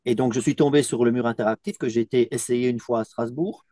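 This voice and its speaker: Mellow-voiced Belgian-born team coach. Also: male voice, mid-range voice, fairly even intonation, quick pace, no room sound, studio quality. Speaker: team coaching business owner. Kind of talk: interview testimonial.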